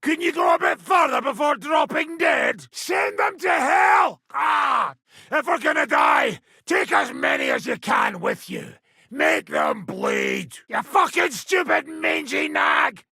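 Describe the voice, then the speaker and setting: An actor playing a brash, foul-mouthed medieval mercenary commander in a video game, with a rough, raspy voice and a coarse, sardonic swagger. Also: male voice, extremely high pitch, sharp tone, dry recording, studio quality, heavily acted. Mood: furious, battle shouting. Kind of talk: video game character acting.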